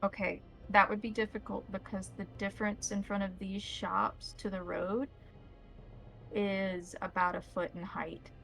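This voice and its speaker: A woman's voice: medium pitch, level tone, unhurried, vocal fry, a bit bright, soft background music, poor audio. A woman acting as game master, explaining and describing scenes in detail for a tabletop role-playing game.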